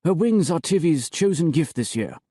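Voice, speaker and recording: Anxious high priest, tight raised voice, close dry sound; a man doing the voice. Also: very animated, moderate pace, dry recording. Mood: tense. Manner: strained, higher and louder than narration.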